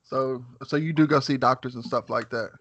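A man in his mid-thirties hosts a podcast in a nervous, halting way, padding his talk with casual fillers. His voice sits at an ordinary pitch, and the recording is dry and close. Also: fairly even intonation, fairly bright tone, no echo, lo-fi sound. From West Virginia, USA. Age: 30s. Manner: nervous, halting, lots of "man" and "you know what I'm saying". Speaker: podcast host. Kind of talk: casual interview.

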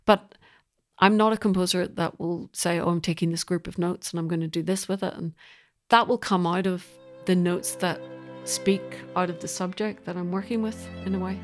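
Northern irish woman being interviewed, composer type, bit low pitched, thoughtful, kinda searching for words. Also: fairly even intonation, moderate pace, faint music behind. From Northern Ireland. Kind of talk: reflective interview conversation.

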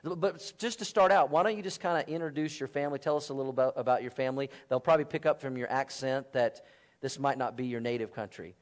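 A man's voice: mid-range voice, fast. A man, asking questions as a church interviewer in casual, conversational phrasing. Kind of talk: casual interview before a congregation.

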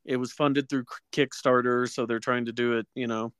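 A man's voice: medium pitch, little pitch movement, a little fast, fairly bright tone, clean tone, no echo, studio quality. A man hosting a podcast, chatting casually about films and TV and acting out mocking quotes as he goes. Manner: acts out mocking quotes while recounting. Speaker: podcast host.

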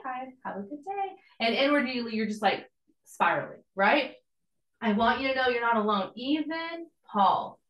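A young woman giving a casual, encouraging devotional sermon, her voice a little hoarse as she recovers. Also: a little high, a bit bright, roomy sound, rough recording. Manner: encouraging, conversational preaching with casual asides.

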